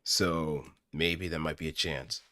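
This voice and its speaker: A man chatting casually on a livestream, musing in a halting way as he thinks aloud. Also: extremely low pitch, fairly even intonation, pushed and bright, slight background noise. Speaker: streamer practicing voice acting.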